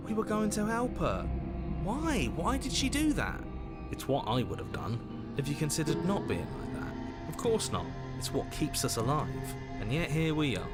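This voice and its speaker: An actor playing a wry, melodramatic narrator and bickering inner voices in a scripted game, theatrical and emphatic. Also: male voice, mid-range voice, lightly acted. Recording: loud music behind the voice. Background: loud game music.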